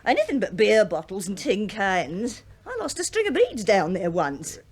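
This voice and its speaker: A woman's voice: mid-range voice, very animated, normal speed, a little mellow, slightly rough. An actress playing a saucy, gossipy middle-aged English cleaning woman in a British radio drama, chatty and teasing. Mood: gossipy, cheeky. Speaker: actor in a radio play.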